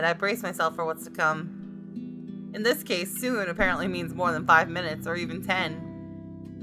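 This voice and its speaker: A woman hosting a gaming video from a room at home, mixing informal commentary with reading game text aloud. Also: on the low side. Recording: faint music behind the voice.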